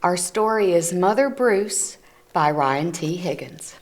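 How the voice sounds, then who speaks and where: Slow, low-voiced woman reading to children. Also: soft background music. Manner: gentle, explains pictures to children. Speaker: children's book reader. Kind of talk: read-aloud storytelling for children. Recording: community TV recording.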